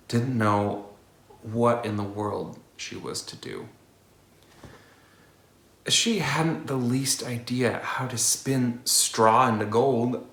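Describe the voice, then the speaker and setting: A man's voice: mid-range voice, vocal fry, fairly bright tone, reverberant. A man reading fairy tales aloud in a playful storytelling manner. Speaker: storybook reader.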